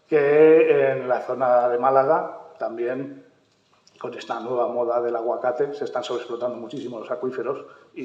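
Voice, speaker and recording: A man's voice: mid-range voice, a little mellow. A man from Mallorca speaking on a panel at a round-table talk, hesitant and full of fillers. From Mallorca, Spain. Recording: echoey hall, dull sound.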